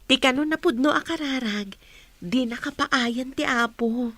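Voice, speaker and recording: A woman's voice: sits high, measured pace, fairly bright tone, dry recording. A young woman with an Ilocano accent acting a respectful, helpful young lady in a radio drama. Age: young adult.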